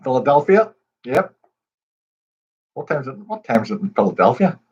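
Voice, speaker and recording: Man hosting a quiz stream, husky, chatty, greets viewers by name, dull lo-fi audio. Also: medium pitch, sing-song, normal speed. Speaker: pub quiz host. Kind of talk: casual livestream chat.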